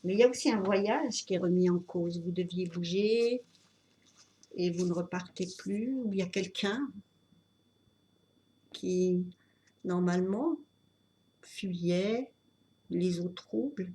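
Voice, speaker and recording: A woman with a cold giving an unscripted tarot reading, talking in a halting way with many fillers and restarts. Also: on the low side, warm tone. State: has a cold. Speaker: tarot reader.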